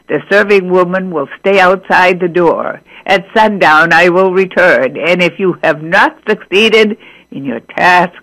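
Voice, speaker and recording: A woman voicing a stern, threatening old king in a storybook reading, slow and commanding. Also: on the low side, fairly bright tone, low-quality recording.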